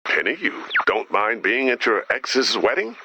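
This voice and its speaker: A man's voice: lowish voice, sing-song, a little slow, bright, forceful tone, exaggerated voice. A man voicing a fussy, flustered robot director in a video game, earnest and fretful about safety. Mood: wry concern. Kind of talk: video game character dialogue.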